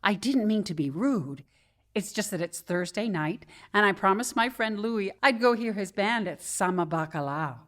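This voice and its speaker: A woman acting a naive young gallery assistant in a comedic radio drama, halting and flustered in manner. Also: mid-range voice, expressive, natural voice. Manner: flustered, halting, wide-eyed.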